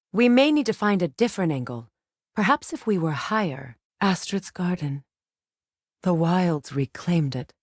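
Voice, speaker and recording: A woman playing a solemn, compassionate, determined ancient goddess in a video game, speaking in a measured, formal, earnest way. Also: fairly low pitch, breathy edge, dry recording, studio quality. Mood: grave, sorrowful. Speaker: video game character voice actor. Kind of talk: dramatic game dialogue.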